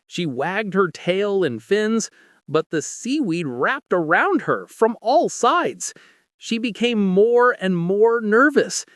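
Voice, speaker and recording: A man narrating a bedtime story in a gentle, soft, unhurried way. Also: sits high, dry recording, studio quality.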